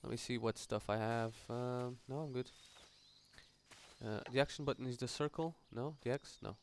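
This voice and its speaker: A man's voice: mid-range voice, fairly even intonation, normal speed, a little hiss or hum. A man giving casual, somewhat hesitant and rambling video game walkthrough commentary as he plays. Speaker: gaming video host.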